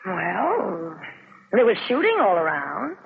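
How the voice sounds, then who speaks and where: Modest young flight nurse, tinny old radio. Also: female voice, medium pitch, huge pitch swings, takes it slowly, vocal fry, slightly rough, exaggerated voice. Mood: wry, weary. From Alabama, United States. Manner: hesitant, understated, dryly joking. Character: modest young American flight nurse, a wry war heroine. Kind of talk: 1950s radio drama acting. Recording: noisy, tinny 1950s radio recording.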